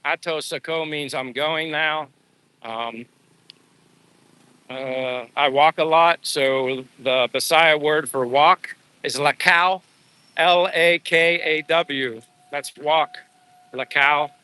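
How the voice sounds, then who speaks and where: A man's voice: fairly high pitch, steady tone, takes it slowly, bright, forceful tone, smooth, low-quality recording. A man hosting a livestream, chatting casually and ramblingly with his viewers in an informal, buddy-like way. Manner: informal, rambling, addresses viewers as bro and dudes. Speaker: livestream host. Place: outdoors, walking.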